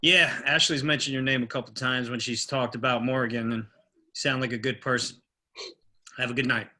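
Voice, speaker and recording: A man playing a kind, steady young police officer at a film script table read. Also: medium pitch, a little fast. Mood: easing, official.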